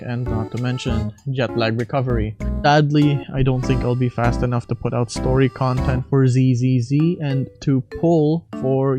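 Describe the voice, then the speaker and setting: A young adult video host giving a casual, self-deprecating announcement to viewers, with some casual swearing. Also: mid-range voice. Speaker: video host and amateur voice impressionist. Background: music.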